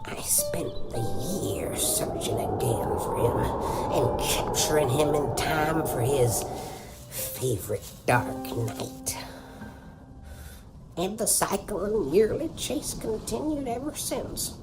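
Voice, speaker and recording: Slow, theatrical old woman. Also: deep voice, expressive, low-quality recording. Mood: weary, solemn. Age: older. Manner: dramatic storytelling, deliberate. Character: theatrical, determined old woman who hunts a monster. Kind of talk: sci-fi comedy audio drama acting. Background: music.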